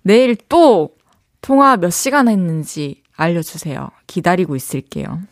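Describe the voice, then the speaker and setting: A woman's voice: fairly low pitch, big pitch swings, moderate pace, no room sound. A woman radio DJ with a southeastern Korean dialect, chatting and laughing as she reads listener messages in a studio. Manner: chatty, friendly, laughs often. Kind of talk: reading and answering listener messages. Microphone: studio microphone.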